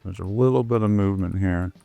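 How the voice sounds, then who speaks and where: A man with a hoarse voice, recovering from the flu, explaining his animation work step by step with many ums and uhs. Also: low voice, quick pace, mellow, no room sound, soft background music. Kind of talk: screen-recorded tutorial walkthrough.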